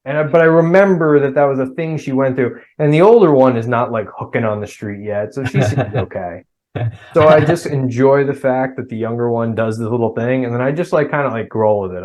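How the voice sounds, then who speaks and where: A man's voice: medium pitch, fairly quick, few pauses, a little mellow. Jersey-accented man chatting casually. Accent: New Jersey. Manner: rambling, restarts often, acts out his kids' voices. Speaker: podcast guest. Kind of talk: casual chat.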